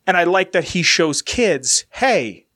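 A man's voice: sits high, very animated, slow, bright, forceful tone. Rambling congested man hosting a podcast. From United States. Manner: rambling, chatty, many fillers and restarts. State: congested with a sinus infection. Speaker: podcast host. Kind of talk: casual solo chat.

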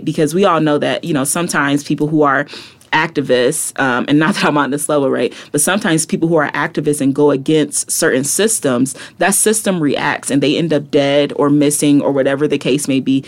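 A young adult woman, a poet and activist, talking passionately as a podcast guest, with frequent "like" and "right?" asides. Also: low-pitched. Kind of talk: reflective casual interview talk.

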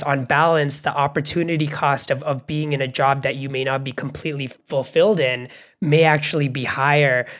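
A man's voice: medium pitch, a bit bright. Reflective man co-hosting a podcast. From Bangladesh. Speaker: podcast co-host. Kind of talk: conversational interview.

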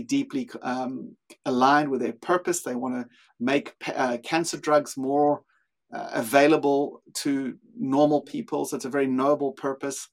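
A man with a South African accent, a guest in a podcast interview, speaking thoughtfully with frequent small restarts as he explains his views. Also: mid-range voice. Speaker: guest, leadership consultant.

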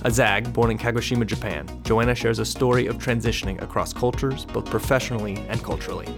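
A man's voice: medium pitch, fairly even intonation, fast, vocal fry. A man reading the polished, scripted introduction to a podcast. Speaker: podcast announcer. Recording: voice over music bed. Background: intro music.

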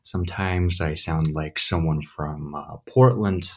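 A man's voice: extremely low pitch, unhurried. A man from Ukraine with a blend of American accents, answering interview questions in a hesitant, thoughtful way. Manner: hesitant, thoughtful, many fillers. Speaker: guest, English teacher.

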